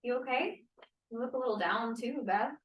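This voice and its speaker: A woman's voice: fairly high pitch, moderate pace, roomy sound, highs cut off, natural voice. A woman acting a guarded but caring young student activist in a stage play table read. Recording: distant room mic.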